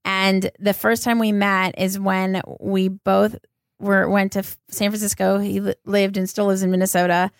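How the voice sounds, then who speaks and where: A woman's voice: medium pitch, flat delivery, moderate pace, dry recording, clean studio sound. A woman with an American accent, hosting a casual interview in an enthusiastic, informal, chatty way. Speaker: podcast host.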